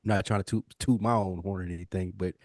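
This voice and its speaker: A man's voice: low-pitched, fairly even intonation, quick pace, a little mellow, dry recording, studio quality. An American man in casual podcast conversation, speaking emphatically in slang and acting out imagined dialogue. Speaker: podcast co-host.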